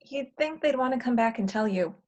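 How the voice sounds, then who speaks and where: A woman's voice: fairly high pitch, lively intonation, brisk, darkish tone, lo-fi sound. A woman playing a blunt, worldly, teasing character with a dry, knowing manner in a comedic table read. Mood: dry, insistent.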